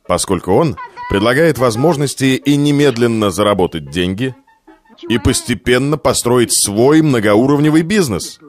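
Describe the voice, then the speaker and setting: A male narrator delivers a scripted promotional read for a company. His intonation moves widely and expressively while the phrasing stays deliberate. Music plays clearly beneath his voice. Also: mid-range voice. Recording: music under the voice.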